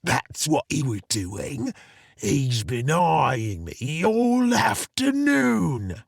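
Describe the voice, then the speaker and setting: A man playing a wealthy, melodramatic alien socialite in an audio drama, with a raspy voice and theatrical, accusing delivery. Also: on the high side, sing-song, no room sound, clean studio sound, full character voice. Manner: theatrical, drawn-out accusing wailing. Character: melodramatic wealthy alien influencer, loudly wronged theft victim.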